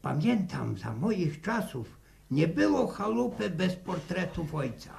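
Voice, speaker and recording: A man's voice: fairly high pitch, sing-song, measured pace, a little mellow, full character voice. An actor playing a talkative, cautious old grandfather with a rural Polish accent, hesitant and repetitive, in a 1960 radio serial. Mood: earnest, reminiscing. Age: older. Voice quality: creaky elderly voice. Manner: hesitant, stammering and repeating words.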